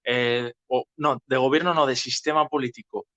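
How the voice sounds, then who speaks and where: A man's voice: mid-range voice, fairly even intonation, moderate pace, a bit bright, highs cut off. A man with an accent from Spain, explaining things casually as a host and often checking that he is being followed. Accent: Spain Spanish. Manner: didactic, checking understanding with tags.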